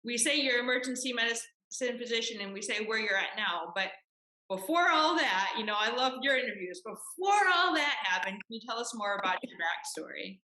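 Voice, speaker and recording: A woman's voice: a little high, lively intonation, a little fast, sharp tone. A woman hosting an interview podcast, introducing her guest with upbeat enthusiasm. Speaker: podcast host. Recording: echoey room.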